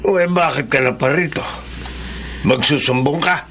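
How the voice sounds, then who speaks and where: An actor playing a stern, self-defending older brother in a radio drama. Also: male voice, fairly high pitch, normal speed, bright, forceful tone, slight background noise. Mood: curious, wary.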